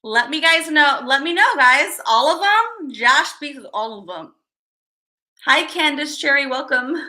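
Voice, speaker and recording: High bright-voiced woman rambling to her livestream chat. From Chicago, USA. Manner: rambling, chatty, reads viewer names aloud. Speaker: livestream host.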